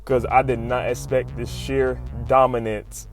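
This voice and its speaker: A man hosts a casual story recap in a medium-pitched voice. He talks in loose slang with plenty of profanity and breaks into animated exclamations. Light music plays underneath. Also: a little slow, vocal fry. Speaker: YouTube host recapping comics.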